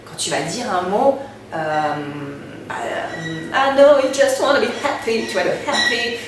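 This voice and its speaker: A French woman, a singer, answering interview questions in a casual, halting way, full of fillers and restarts. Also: medium pitch, lively intonation. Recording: very echoey room, distant mic, noticeable background noise.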